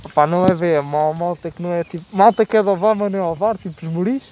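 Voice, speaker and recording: Rambling, high-voiced Portuguese student. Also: male voice, fairly even intonation, rapid-fire, darkish tone, a little hiss or hum. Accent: European Portuguese. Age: young adult. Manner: rambling, full of fillers. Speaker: podcast guest. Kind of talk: casual chat.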